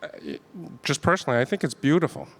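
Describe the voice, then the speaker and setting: A man's voice: medium pitch, expressive, quick pace, fairly bright tone. A man moderating a panel, asking questions hesitantly with many fillers and restarts. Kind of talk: panel discussion.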